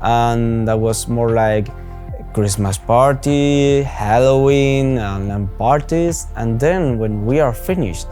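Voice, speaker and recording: Young Spanish volunteer, warm mellow voice, plain talk over faint music. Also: male voice, medium pitch, takes it slowly. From Spain. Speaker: volunteer video editor. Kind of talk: interview testimonial.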